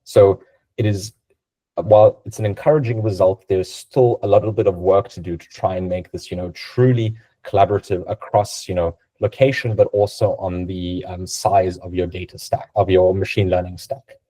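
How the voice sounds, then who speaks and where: A man whose first language is Serbian gives a technical talk, loose and chatty, dotting his points with "right?" His voice sits low, with a husky, dark, mellow tone. It comes through a video call. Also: fairly even intonation, normal speed. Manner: conversational, many fillers and "right?". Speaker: guest speaker.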